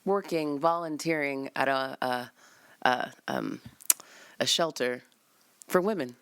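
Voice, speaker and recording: A man's voice: mid-range voice, slow pace, a bit bright, clean tone. A man in casual group chat, talking as a player of a tabletop game.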